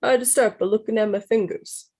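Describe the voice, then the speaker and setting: A woman's voice: fairly high pitch, steady tone, quick pace, warm tone, husky, voice pushed up. A woman putting on a Brooklyn accent to voice a fit, friendly man in his mid-forties, explaining things in a chatty way while reading a novel aloud to friends. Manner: chatty and explaining, with playful laughs breaking through.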